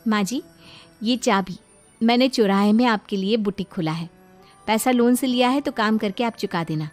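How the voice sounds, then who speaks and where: A woman's voice: mid-range voice, normal speed, natural voice. A woman voicing a cheerful, supportive young daughter-in-law in a Hindi cartoon story. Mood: assured. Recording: music behind the voice.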